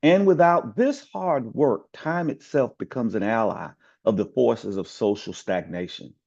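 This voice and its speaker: A man from the community reciting a written speech with oratorical emphasis, drawn-out words and falling phrase endings, in a dull, slightly lo-fi recording. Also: medium pitch, gravelly, a little mellow. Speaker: community member reading aloud.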